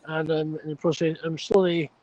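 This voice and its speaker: A chatty Scottish man introducing himself casually and a little haltingly as a livestream guest. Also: fairly high pitch, flat delivery, darkish tone, no room sound. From Scotland. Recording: dull lo-fi stream audio.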